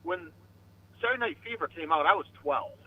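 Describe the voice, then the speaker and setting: A man in his fifties calling into a radio show, talking in a quick, matter-of-fact way. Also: a little high, expressive, husky. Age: 50s. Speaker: caller. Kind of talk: call-in phone conversation. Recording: dull lo-fi phone call with faint noise.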